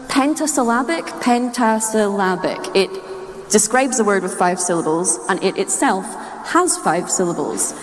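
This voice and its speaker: Scottish woman speaking in an echoey hall. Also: a little high. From Scotland. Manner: playful, chuckles at own wordplay. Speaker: speaker giving a talk. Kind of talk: live humorous nerdy talk to an audience. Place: large indoor room or hall, live talk. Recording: echoey hall with long reverb.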